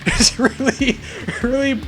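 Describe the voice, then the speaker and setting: A man's voice: very high-pitched, fairly even intonation, slow, a bit bright, soft background music. A man giving a comedic, mock-dramatic reading aloud as co-host, with profane banter.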